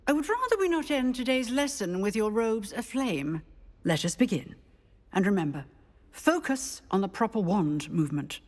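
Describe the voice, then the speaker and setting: A woman playing a stern, dry-witted older magic professor in a video game, speaking in a measured way. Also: medium pitch, sing-song, darkish tone, big-room sound. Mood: stern, instructive. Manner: measured, stern, dryly witty. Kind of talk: scripted video game dialogue.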